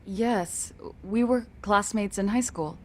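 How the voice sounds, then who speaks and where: An actress playing a guarded mother with a slightly husky voice, politely prickly and restrained in a video game cutscene. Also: mid-range voice, takes it slowly, a little mellow, a little hiss or hum, close to an everyday voice. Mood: polite, defensive. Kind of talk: English-dubbed video game cutscene acting.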